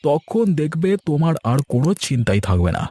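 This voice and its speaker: A man's voice: on the high side, very animated, fairly quick, dark tone. A man voicing an eager, talkative younger village man in a cartoon, with a pleading manner. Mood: earnest. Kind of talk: cartoon dialogue acting.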